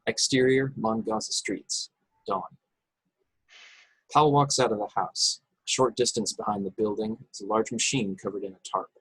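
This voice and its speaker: A man's voice: fairly low pitch, steady tone, normal speed, fairly bright tone, breathy edge, no echo, rough recording. A man reading screenplay action and scene headings as the narrator of an informal table read. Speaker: narrator reading stage directions.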